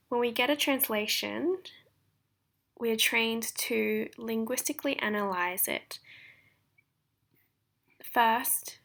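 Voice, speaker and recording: Flat, gravelly-toned young woman presenting. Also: fairly high pitch. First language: Japanese. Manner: formal, measured, read-through delivery. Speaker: translation student. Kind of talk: recorded class presentation.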